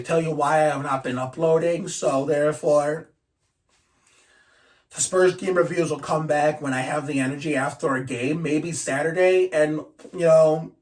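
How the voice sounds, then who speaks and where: A man's voice: on the high side, distant mic. A man ranting casually to his channel, blunt and profane, rambling with frequent restarts. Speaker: YouTuber. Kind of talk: casual vlog rant.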